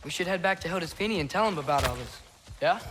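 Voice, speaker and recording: Eager teenage warrior, lively and highish. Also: male voice, quick pace, a little hiss or hum. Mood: curious. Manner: earnest, inquisitive, youthful. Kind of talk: cinematic video game acting.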